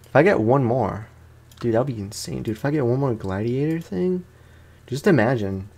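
A man with a medium-pitched voice commentates over his gameplay in a casual let's play style. He talks informally with plenty of gamer slang, often repeating his own phrases. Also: expressive, normal speed, vocal fry, mellow. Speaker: gaming streamer.

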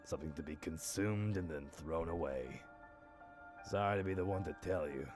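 An actor playing a worn-out, bitter, foul-mouthed older superhero in a gruff, jaded way for an animated parody dub. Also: male voice, deep voice, normal speed, lightly acted. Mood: weary, resigned. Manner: jaded, gruff, theatrical outbursts. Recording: music behind the voice.

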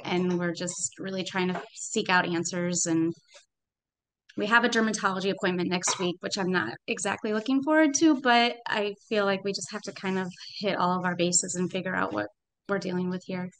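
Thirties woman, smooth resonant voice, earnest parent, video-call audio. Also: lowish voice, normal speed, a bit bright, rough recording. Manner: earnest, thoughtful, trailing hedges. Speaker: parent in a program. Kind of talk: casual conversation. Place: home room.

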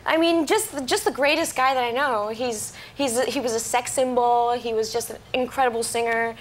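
A woman's voice: on the high side, fairly even intonation, a crowd in the background. A young woman from Miami who grew up partly in Venezuela, chatting as a guest on a late-night talk show, bubbly and laughing easily, recorded on a lapel mic in a TV studio. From Miami, with years in Venezuela. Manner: bubbly, laughing, quick bursts of Spanish. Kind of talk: casual chat interview.

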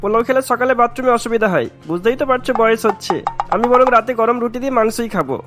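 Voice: mid-range voice, fast talker, fairly bright tone, soft background music, natural voice. A performer voicing a sly, greedy elderly great-uncle in a Bengali cartoon, genial and wheedling.

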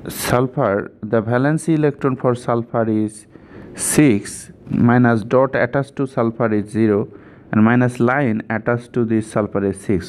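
A man's voice: medium pitch, darkish tone. A man giving an informal step-by-step chemistry tutorial, repeating himself and often checking in with "right?".

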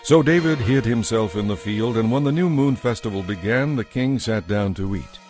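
A man serves as narrator of a dramatized audio Bible. His voice is on the low side, reading scripted story narration with light music beneath it. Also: moderate pace, a little mellow.

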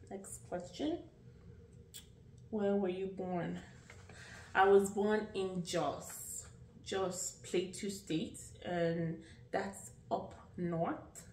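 Nigerian woman, late thirties, slow unhurried chat, lowish voice, echoey room, mic set back. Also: darkish tone, distant mic, slight background noise. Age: late 30s. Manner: unhurried, chatty, rambling with restarts. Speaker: vlogger. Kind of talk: casual get-to-know-me vlog.

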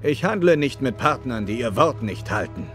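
A man's voice: mid-range voice, expressive, moderate pace, fairly bright tone, faint music behind, lightly acted. An actor playing a dignified, good-humoured alien king with a stately, formal manner. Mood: firm.

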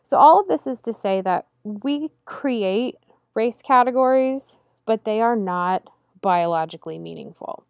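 Female lecturer for a recorded slide lesson, steady and explanatory, dry voice-over sound. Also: a little high, creaky, no echo. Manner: measured and explanatory, slowing to stress key terms. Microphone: close voice-over mic over slides.

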